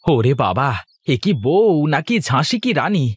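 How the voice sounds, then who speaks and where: A man's voice: fairly high pitch, very animated, a little slow, breathy edge, no room sound, full character voice. A man playing a teasing, mildly bossy husband and devoted son in a cartoon drama. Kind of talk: cartoon voice acting, fairy-tale drama.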